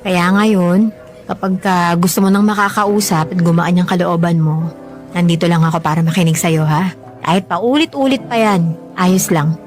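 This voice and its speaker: An actress playing a kindly, concerned coworker who listens sympathetically in a Filipino radio drama, with conversational delivery. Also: female voice, lowish voice, fairly even intonation, moderate pace, natural voice. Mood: sympathetic. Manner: gentle, sympathetic, chatty. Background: background music.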